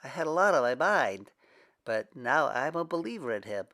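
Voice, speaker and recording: Man voicing a thoughtful young man, quiet reflective tone, gravelly edge, storybook. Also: mid-range voice, very animated, moderate pace, pitched above the performer's own voice.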